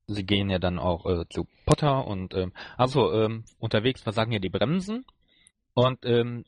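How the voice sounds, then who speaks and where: A man co-hosting a podcast, casually reviewing an episode in a halting way as he searches for words. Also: on the low side, animated, dry recording. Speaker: podcast co-host.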